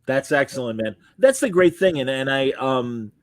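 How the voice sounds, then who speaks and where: A man's voice: medium pitch, animated, normal speed, dry recording. A man hosting a casual interview, chatty and effusively affirming. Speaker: interviewer and host.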